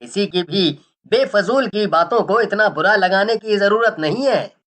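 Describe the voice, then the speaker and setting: Woman playing an unhurried, caring village father. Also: medium pitch, a bit bright, lightly acted. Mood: reassuring. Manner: reassuring, unhurried, matter-of-fact. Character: caring, confident village snake-charmer father, middle-aged man. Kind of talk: storybook cartoon voice acting.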